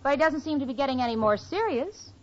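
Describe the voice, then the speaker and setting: Wry young single gal, confiding, very lively intonation, 1940s radio sitcom, dull vintage recording. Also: female voice, sits high, fast. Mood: wry, smitten. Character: sensible, wry young single woman roommate. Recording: dull old radio recording, studio audience.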